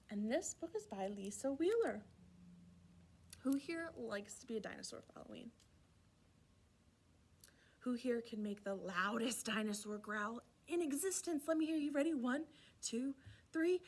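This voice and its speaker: High, animated woman reading to young children. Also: slight background noise. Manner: playful, encouraging, exaggerated child-directed delivery. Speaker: children's library storyteller. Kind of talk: animated read-aloud and singalong for young children.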